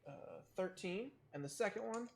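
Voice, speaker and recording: A man's voice: high-pitched, expressive, moderate pace, creaky, a little mellow. A man narrating a tabletop game as game master in a casual, loose, improvised way. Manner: casual, swearing.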